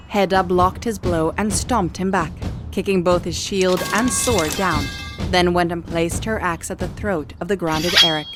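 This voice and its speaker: Female narrator, slightly low pitch, scripted storytelling, audio drama, music underneath.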